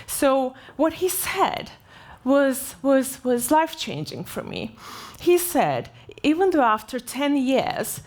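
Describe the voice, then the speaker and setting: A woman in her forties, from Turkey, speaks from a conference stage in an unhurried, halting way. She leans on fillers and restarts sentences often. Her voice sits a little high for a woman. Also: very animated. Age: 40s. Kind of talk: personal story keynote.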